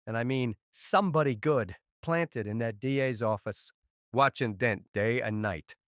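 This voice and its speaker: A tough, blustering mob boss from a comic book crime drama acted by a man. He talks in gruff street slang, indignant and irritable. The sound is close and dry, at an ordinary male pitch. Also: unhurried, dry recording, lightly acted. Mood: irritated, commanding.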